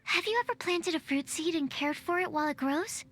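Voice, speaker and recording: A woman's voice: very high-pitched, fairly quick, fairly bright tone. A woman playing a shy, bookish young female alchemist in a video game, hesitant and precise like a scientist. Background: game music.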